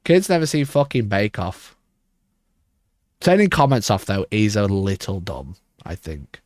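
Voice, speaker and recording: Hoarse man, rambling stream chat. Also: fairly low pitch, expressive, measured pace, darkish tone, no room sound, studio quality. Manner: rambling, restarts mid-sentence. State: rough, hoarse voice. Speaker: livestream host. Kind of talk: casual livestream chat.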